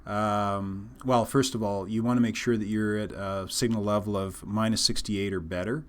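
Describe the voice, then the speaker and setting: Low-pitched man giving a halting technical walkthrough. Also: steady tone. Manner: halting, explanatory. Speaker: company technical trainer. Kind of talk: instructional software walkthrough, unscripted explanation.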